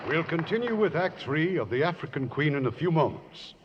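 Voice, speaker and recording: A man's voice: on the high side, very animated, a little fast, a crowd in the background, background noise. A man hosting a 1950s radio show, announcing from a script in a formal broadcast style. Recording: dull old radio, long hall reverb.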